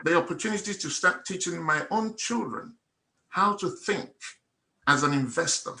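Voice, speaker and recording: Bright-voiced man giving testimony. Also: on the high side, expressive, normal speed. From Nigeria. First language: Igbo. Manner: earnest, emphatic, repeats phrases for effect. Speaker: testimonial speaker. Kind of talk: personal testimony at a meetup.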